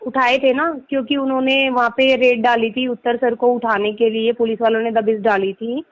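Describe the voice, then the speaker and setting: High-pitched insistent woman on a muffled call. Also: flat delivery, normal speed. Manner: insistent, explanatory, peppers Hindi with English legal terms. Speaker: lawyer. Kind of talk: persuasive phone conversation. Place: recorded phone or video call.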